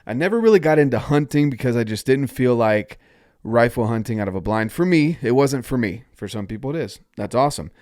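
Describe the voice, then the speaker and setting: A man's voice: mid-range voice, expressive, fairly quick, a little mellow, no echo, clean studio sound. A man from North Carolina hosting a solo podcast, talking upbeat and encouraging while stuffed up from a cold. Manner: upbeat, encouraging, invites listeners to join. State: stuffed-up nose. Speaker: podcast host.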